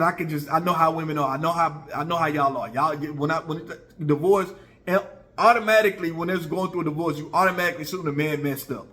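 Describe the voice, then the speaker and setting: A man's voice: fairly high pitch, fairly bright tone. An American man in his early forties giving casual opinions to viewers, conversational, repeating himself for emphasis and often checking in with listeners. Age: early 40s. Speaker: online video commentator.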